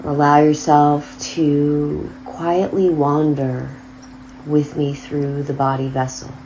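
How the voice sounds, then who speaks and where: A woman guiding a body scan meditation, speaking softly with long, drawn-out pauses. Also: low-pitched, level tone, a little hiss or hum. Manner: slow, soft, drawn-out phrasing with long pauses. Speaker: meditation guide. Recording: slightly dull lo-fi sound over loud singing bowl music. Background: crystal singing bowls and flowing river.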